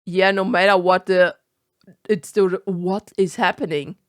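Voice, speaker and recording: A woman's voice: lowish voice, steady tone, unhurried, no room sound, studio quality. A young woman with a German accent reacting to a video in casual commentary, incredulous and swearing often.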